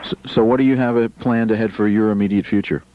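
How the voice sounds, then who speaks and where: A man's voice: lowish voice, steady tone, fast talker, slight background noise. A man hosting a live radio show, friendly and stammering through his questions to callers. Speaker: radio host. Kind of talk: live on-air phone interview. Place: radio studio. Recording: dull broadcast radio recording.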